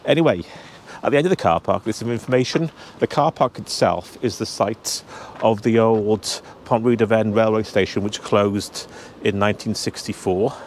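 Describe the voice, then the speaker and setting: Male travel vlogger, 50s, casual walk-and-talk outdoors, lowish voice. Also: normal speed, hardly any pauses, slight background noise. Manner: chatty, talking straight to camera while walking. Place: outdoors on a cold hillside. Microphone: handheld camera at arm's length.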